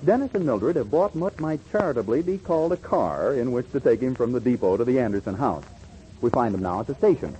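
A man's voice: medium pitch, animated, fairly quick, mellow. A man with an American accent narrating as announcer in scripted 1940s radio style. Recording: muffled, noisy old radio broadcast.

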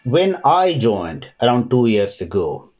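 A man's voice: medium pitch, big pitch swings, measured pace, darkish tone. Man in a dull, muffled interview recording. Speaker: interview guest, retail trader. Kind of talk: reflective interview answers.

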